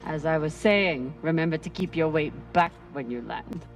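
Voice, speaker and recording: An actress playing a tough, sardonic spacer woman in a video game, dry, teasing and commanding, gruffly fond underneath. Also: sits low, moderate pace, music in the background. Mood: sardonic, steady. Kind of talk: video game cutscene acting.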